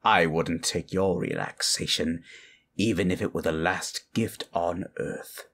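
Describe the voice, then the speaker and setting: A man's voice: deep voice, measured pace, a bit bright, husky, full character voice. A man playing a grave, ancient lich who speaks in solemn, formal phrases in a fantasy audio roleplay. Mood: dry, irritated. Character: grave ancient male lich, stern and scheming mentor.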